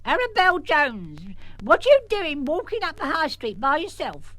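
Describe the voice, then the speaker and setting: A man's voice: very high voice, huge pitch swings, normal speed, pushed and bright, no echo, pitched above the performer's own voice, exaggerated voice. A British man voicing a bossy, alarmist old great-aunt who scolds in breathless lists in a children's story. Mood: scolding, alarmed.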